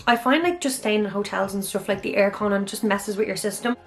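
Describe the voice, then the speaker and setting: A woman hosting her own channel, chatting her way through a mystery topic, with a slightly hoarse, raspy voice and an enthusiastic manner. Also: medium pitch, brisk, faint music behind. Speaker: YouTube channel host. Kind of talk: casual monologue on a mystery topic.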